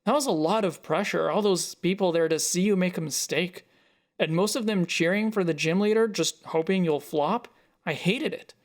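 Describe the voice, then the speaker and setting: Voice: medium pitch, natural voice. Defensive, frustrated grown-up child, acted by a narrator. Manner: halting, then heated. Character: defensive, frustrated adult photographer arguing with a parent. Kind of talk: dialogue in a narrated short story.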